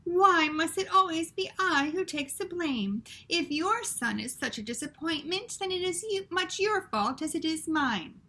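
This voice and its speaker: A woman voicing a dramatic, dismissive French mother mouse, speaking high and loud with theatrical mock-French phrasing. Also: lively intonation, a little slow, few pauses, exaggerated voice. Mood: offended.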